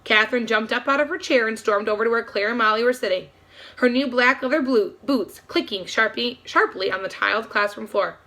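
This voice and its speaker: A woman reading a children's novel aloud as an expressive storybook narrator. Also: fairly high pitch, fairly quick.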